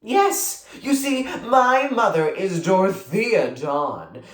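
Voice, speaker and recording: Storyteller as self-important young girl with worldly airs. Animated, offhand, slightly echoey storybook read. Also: medium pitch, takes it slowly, pitched above the performer's own voice. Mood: boastful. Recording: roomy echo.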